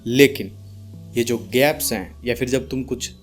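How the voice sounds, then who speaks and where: A young man with an Indian accent and a deep voice gives informal speaking advice calmly, without strain, mixing in English words and leaving deliberate pauses. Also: faint music behind. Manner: calm, unforced, deliberate pauses; mixes English words into speech. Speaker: speaking coach. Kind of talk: informal advice talk to camera.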